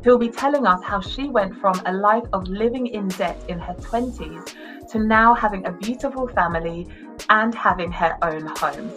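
A woman hosting a faith-based podcast, interviewing a guest in an encouraging, easy conversational way. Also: medium pitch. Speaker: podcast host.

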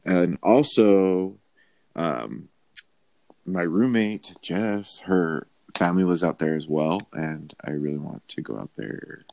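A very deep man with a gravelly, fry-laden voice, speaking slowly and easing through hesitations. The sound is close and dry. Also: no echo. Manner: slow, relaxed, hesitant. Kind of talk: casual group chat.

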